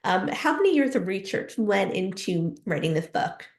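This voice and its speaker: A woman's voice: mid-range voice, sing-song, moderate pace. Enthusiastic woman host in an echoey room. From New Jersey, United States. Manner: enthusiastic, personal. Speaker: host and interviewer. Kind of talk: casual interview questions.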